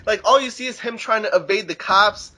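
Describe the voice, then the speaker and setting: High, bright-voiced man chatting casually. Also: a little fast. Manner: casual, sweary. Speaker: guest on an online video series. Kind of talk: casual anecdotal chat.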